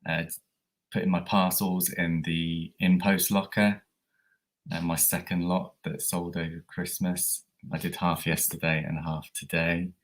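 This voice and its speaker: A man hosts a casual livestream in a very low, croaky voice, as he is coming down with a cold. He rambles in a chatty way, full of ums and little restarts. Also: flat delivery, measured pace. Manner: chatty, rambling with many fillers. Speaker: eBay reseller livestream host.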